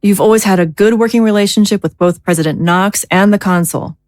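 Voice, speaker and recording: An actress playing a commanding government leader in an audio drama, speaking with formal, clipped authority. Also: female voice, mid-range voice, a little fast, darkish tone, dry recording, natural voice. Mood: brisk, firm.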